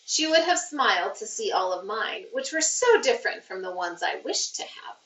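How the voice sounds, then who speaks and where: A woman reading a children's novel aloud as a teacher would, with expressive, deliberate storytelling phrasing. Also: mid-range voice. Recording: roomy, muffled, distant microphone.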